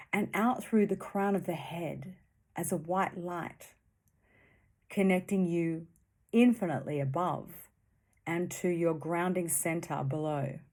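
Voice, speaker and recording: Very slow, low-pitched woman guiding meditation. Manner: soothing, gentle, with long pauses between short phrases. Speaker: meditation guide. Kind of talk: guided meditation.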